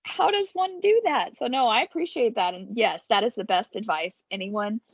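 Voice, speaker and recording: A woman's voice: fairly high pitch, lively intonation, normal speed, husky, dry recording. A woman co-hosting a podcast in casual chat, chatty and self-deprecating. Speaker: podcast co-host.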